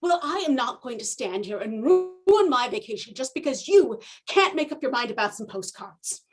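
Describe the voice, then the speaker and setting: A woman's voice: a little high, very animated, normal speed, over loud music. A woman playing a nagging, exasperated mother on vacation in a stage play, scolding theatrically. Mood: huffy. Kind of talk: stage play comedy dialogue.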